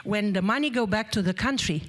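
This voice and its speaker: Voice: mid-range voice, a little fast, fairly bright tone. Panel speaker, mid voice, emphatic, lists stuff, jokes a bit on the side. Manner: emphatic, with joking asides and lists. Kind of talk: panel discussion. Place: conference panel.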